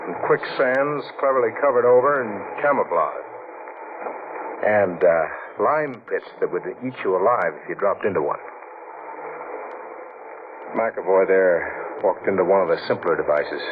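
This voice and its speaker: An actor playing a cool, sardonic Coast Guard lieutenant in a 1940s radio thriller, with a dry, unhurried delivery. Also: male voice, deep voice. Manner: dry, unhurried, hesitant pauses. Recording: tinny, hissy 1940s radio recording.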